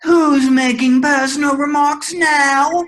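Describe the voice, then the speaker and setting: A woman's voice: sits high, fairly even intonation, slow. A woman playing an eccentric, huffy, scatterbrained hatter in a readers' theater storybook piece, theatrical and emphatic. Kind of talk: readers' theater of children's classic.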